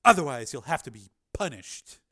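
A man voicing a commanding woman in an audiobook, speaking in an ominous, deliberate, teasing way. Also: medium pitch, very animated, pushed and bright.

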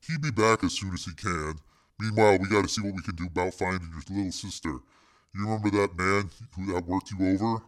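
A man's voice: low-pitched, fairly even intonation, a little fast, voice pushed down, close to an everyday voice. A man voicing a big, tough, laconic man with terse, slangy street talk in an audiobook. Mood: calm, gruff. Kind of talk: audiobook character voice.

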